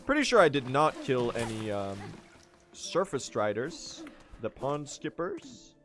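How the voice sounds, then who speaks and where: A young man from Sweden, late teens, streaming and chatting with viewers. His English is loose and joking, full of rambling asides and sudden excited bursts. His voice sits at an ordinary middle pitch, with faint music running quietly behind him. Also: measured pace. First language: Swedish. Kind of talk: casual livestream chat while gaming.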